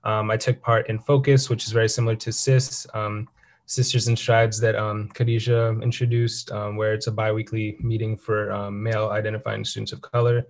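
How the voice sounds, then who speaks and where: A young man speaks on a student webinar panel from a video call. His voice is fairly low and very flat in pitch, and his steady talk is dotted with fillers. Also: normal speed. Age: young adult. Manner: steady, even delivery with frequent "um". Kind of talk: casual self-introduction.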